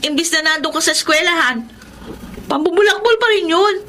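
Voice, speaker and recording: Scolding teen sister, high bright voice, suspicious, pressing, radio drama, faint noise. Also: female voice, a little fast. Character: nosy, scolding studious teenage sister.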